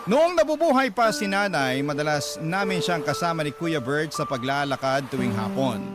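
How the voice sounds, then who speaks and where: A man's voice: on the high side, very animated, normal speed. A man playing a sorrowful letter sender, narrating family memories earnestly with a Filipino accent in a radio drama. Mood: wistful. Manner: wistful, earnest storytelling. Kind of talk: dramatic first-person scripted narration. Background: loud music.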